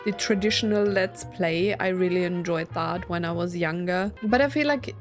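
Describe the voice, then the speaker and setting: A woman's voice: fairly low pitch, normal speed, soft background music. A young woman giving casual, informal Let's Play commentary on a story game, reacting as she goes. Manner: reads game dialogue aloud and reacts informally. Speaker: gaming video host playing a story game.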